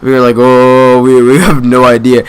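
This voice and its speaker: A man's voice: mid-range voice, little pitch movement, normal speed, smooth, low-quality recording. A young man with a mid-range voice tells a story in a loose, casual way. He rambles, leans on slang and swearing, and often breaks off his own sentences before picking the thread back up. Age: young adult. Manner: rambling, slangy, frequent swearing, self-interrupting. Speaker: gaming video creator. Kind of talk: casual storytelling over gameplay.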